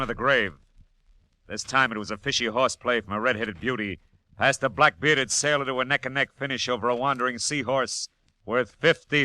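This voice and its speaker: An actor playing a tough, wry American private detective, deadpan in 1940s radio noir style. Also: male voice, medium pitch, dry recording. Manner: deadpan, wisecracking.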